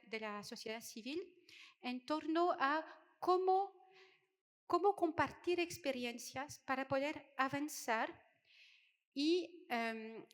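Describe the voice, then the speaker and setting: A woman's voice: sits high, a bit bright. A middle-aged woman from France with a French accent, giving a conference talk in a hesitant way, with many fillers and restarts. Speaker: conference speaker. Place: conference hall. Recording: hall reverb.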